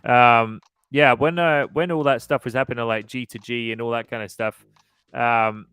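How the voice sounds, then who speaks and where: A man in his thirties hosting a casual podcast livestream, easygoing and quick to laugh as he chats and reads out viewer comments. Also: mid-range voice, steady tone, fairly bright tone, clean tone, no echo. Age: 30s. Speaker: podcast host.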